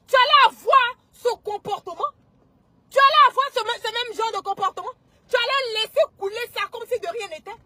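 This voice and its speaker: A woman's voice: very high-pitched, bright, forceful tone, no room sound. A woman with an Ivorian accent delivering an indignant, emphatic and mocking political rant as an online commentator, often repeating herself for effect.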